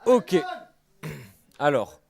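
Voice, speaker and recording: High-pitched man calling a poker game. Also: very slow, creaky. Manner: animated, informal, exclaiming. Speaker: poker dealer and announcer calling hands. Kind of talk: live poker commentary. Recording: music playing faintly behind the voice. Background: faint music.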